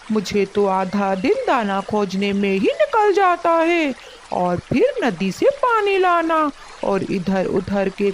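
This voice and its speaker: A woman plays a hardworking crow husband in an animated tale, fed up with doing everything. The voice is fairly high and swoops expressively at a slow pace. The delivery is emphatic and full of complaint. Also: a little hiss or hum, heavily acted. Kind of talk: Hindi animated moral story dialogue.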